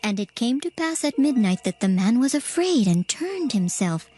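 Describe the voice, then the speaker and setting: A female narrator reads dramatized scripture in archaic English. Her voice sits a little high, and music is clearly heard underneath. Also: sing-song, moderate pace, mellow.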